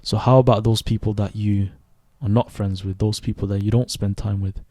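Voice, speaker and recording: A young man in his twenties who grew up in Sweden, hosting a reflective solo podcast and speaking thoughtfully, with pauses and self-corrections. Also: lowish voice, steady tone, warm tone, no echo, clean studio sound. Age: 20s. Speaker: podcast host.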